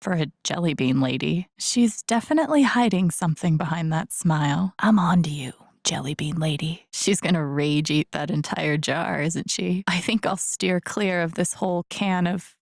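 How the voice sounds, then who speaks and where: A woman's voice: lowish voice, normal speed, vocal fry, few pauses, no echo, clean studio sound. An actress playing an empathetic young woman in a video game, thinking aloud in a casual, wry, understated way. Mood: playfully suspicious. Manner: casual, wry, muttering to herself. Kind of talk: understated video game voice acting, interior monologue.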